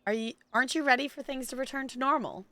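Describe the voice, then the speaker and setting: A woman's voice: sits high, fast talker, a bit bright. A woman game streamer giving chatty, casual playthrough commentary and reading dialogue replies aloud.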